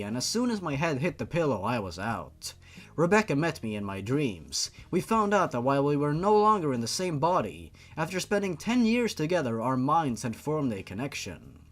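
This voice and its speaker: A man narrating fan fiction as a host, reading the script in a dramatic storytelling style. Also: a little high.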